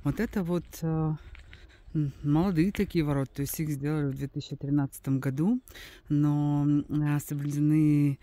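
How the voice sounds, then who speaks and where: A woman's voice: sits low, steady tone, normal speed, warm tone, no room sound. A woman giving casual, unscripted travel commentary as a guide, explaining things hesitantly with trailing asides.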